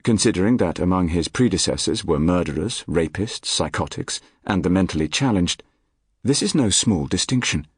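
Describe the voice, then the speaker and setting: A man reading a nonfiction audiobook in a measured, articulate, formal manner. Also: deep voice, animated, slightly rough, dry recording, studio quality. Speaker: audiobook narrator. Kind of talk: scripted nonfiction audiobook narration. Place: dry recording studio.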